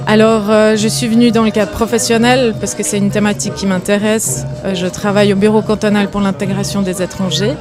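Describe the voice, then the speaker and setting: A woman from Kosovo who works in integration, introducing herself in a measured, composed way. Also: mid-range voice, flat delivery, music in the background. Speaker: integration office worker. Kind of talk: self-introduction.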